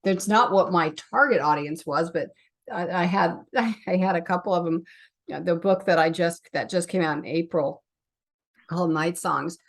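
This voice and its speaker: A woman's voice: lowish voice, moderate pace. A woman hosting a podcast as a novelist, talking casually and chattily in a conversational interview.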